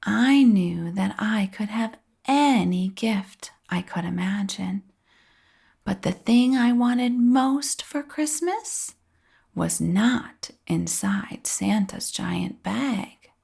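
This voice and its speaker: A woman reading a children's story aloud with expressive, drawn-out phrasing and a breathy edge at the ends of phrases. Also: medium pitch. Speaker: storybook reader.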